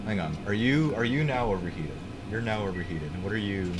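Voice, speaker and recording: A man's voice: fairly low pitch, animated, fairly quick, very noisy. A young American man casually chatting while playing a game on a live stream, rambling, swearing and restarting his sentences. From United States. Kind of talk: casual gaming stream chat.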